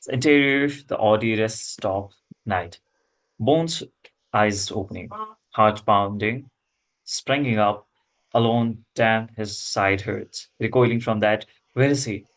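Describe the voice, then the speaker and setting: Slow, low-voiced man reading stage directions. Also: poor audio. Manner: halting, fragmented phrasing. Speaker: screenplay table-read participant reading stage directions. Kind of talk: script read-aloud.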